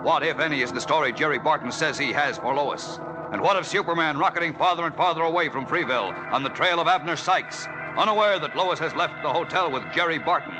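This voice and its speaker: A man's voice: fairly high pitch, fast talker, rough recording. A man narrating a 1940s radio serial as announcer, emphatic, suspenseful and theatrical. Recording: old radio broadcast with music behind.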